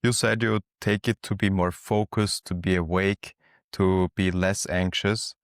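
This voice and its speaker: Male interview host, deep and smooth voice, calm and measured, dry close sound. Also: dry recording. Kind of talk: casual interview talk.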